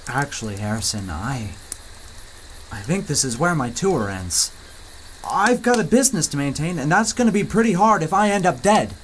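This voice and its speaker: A man's voice: on the high side, sing-song, normal speed, slight background noise, rough recording. A man playing a cautious, practical riverboat skipper who speaks hesitantly and apologetically. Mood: apologetic. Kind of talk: radio serial acting.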